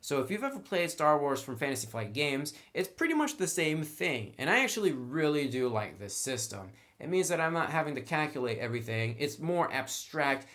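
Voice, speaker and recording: A man's voice: a little high, big pitch swings, brisk, few pauses, a bit bright. Casual man reviewing a tabletop game book. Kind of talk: casual explanatory review commentary.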